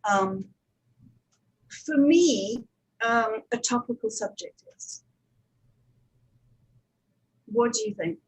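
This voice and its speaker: Higher-voiced woman, practical panel speaker. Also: drawn-out words, long pauses, husky, low-quality recording. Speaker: panel speaker, audio drama producer. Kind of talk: conference panel presentation.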